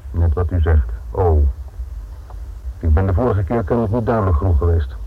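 A man's voice: low voice, a little fast, husky. A man acting in a radio play as a stern, sardonic police inspector, speaking formally in clipped, sceptical phrases. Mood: dryly sceptical. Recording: tinny, band-limited old radio recording with noise.

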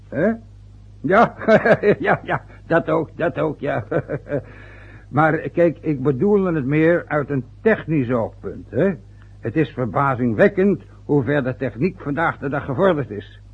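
An actor playing a fretful, rambling father turning sixty in an old radio play, with a husky voice. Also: fairly high pitch, heavily acted. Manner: rambling, loses his thread. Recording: phone-like lo-fi old radio recording.

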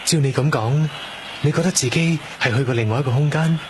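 A man's voice: on the high side, brisk, background noise, close to an everyday voice. An actor in a radio drama playing a patient, guarded young male doctor who questions in a Hong Kong accent. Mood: intrigued questioning. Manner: questioning, evasive. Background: prominent music.

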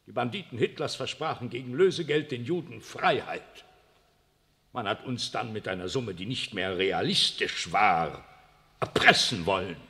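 An actor playing an imperious, evasive aging pope in a 1960s radio drama, speaking in a lofty, cold way and using the royal we. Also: male voice, on the low side, animated, normal speed, bright, forceful tone. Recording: long reverb of a large room.

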